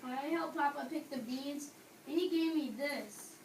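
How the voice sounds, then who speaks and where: Young woman doing sweet earnest boy in amateur play, high voice, far from mic. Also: measured pace, darkish tone. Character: earnest, generous young boy buying treats. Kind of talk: amateur readers theater performance. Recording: echoey room, distant mic, faint noise.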